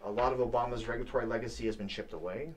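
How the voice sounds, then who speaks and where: A man co-hosting a political talk show, speaking haltingly with many restarts. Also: mid-range voice, recorded from a distance, slight background noise. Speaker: co-host. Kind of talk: casual political discussion.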